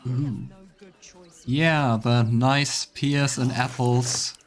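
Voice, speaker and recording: A man's voice: mid-range voice, fairly even intonation, drawn-out words, background noise. A man doing casual let's play commentary, musing aloud in a laid-back way. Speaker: let's player. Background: game music.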